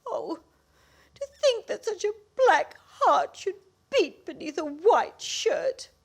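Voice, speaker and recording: High-pitched melodramatic scolding battle-axe wife. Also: female voice, big pitch swings, slow pace, heavily acted. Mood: outraged, vengeful. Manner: indignant, theatrical, accusatory. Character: scolding, melodramatic married woman, a meddling battle-axe mother. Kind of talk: stage comedy performed as radio play.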